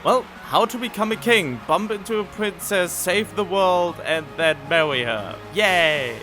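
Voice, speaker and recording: Animated high-voiced man reacting to a game. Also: measured pace. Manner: puzzled, thinking aloud. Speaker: gamer reacting to game cutscenes. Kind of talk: casual reaction commentary. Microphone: studio condenser microphone. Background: music from game cutscenes.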